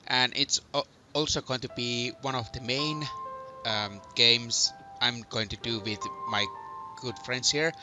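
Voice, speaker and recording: Guy hosting a lets play, really bright sharp voice, kinda hesitant, game music behind. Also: mid-range voice. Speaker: host and narrator of a fandub let's play. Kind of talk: casual gaming commentary with read narration.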